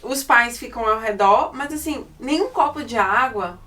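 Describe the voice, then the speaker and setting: A woman, hosting a relaxed finance talk and often tagging phrases with little questions. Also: a little high, normal speed. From Brazil. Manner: chatty, ends phrases with tag questions. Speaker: podcast host on personal finance. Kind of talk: relaxed conversational interview. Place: indoors in Ireland. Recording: voice a little distant from the mic.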